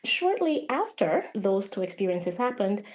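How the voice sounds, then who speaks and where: A woman's voice: mid-range voice, big pitch swings, unhurried. A woman speaking as a guest playwright in an interview, retelling personal experiences expressively.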